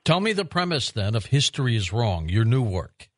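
A man's voice: medium pitch, big pitch swings, normal speed, a little hiss or hum. A man interviewing a guest, asking conversational questions in a reassuring way. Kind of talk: radio interview.

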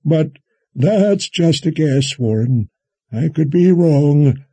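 A man voicing a wry, folksy, kindly old wizard in an audiobook, musing and teasing in a drawn-out way. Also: fairly high pitch, lively intonation, mellow, breathy edge, no room sound, pitched above the performer's own voice, exaggerated voice. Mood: measured, doubting. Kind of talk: audiobook character dialogue.

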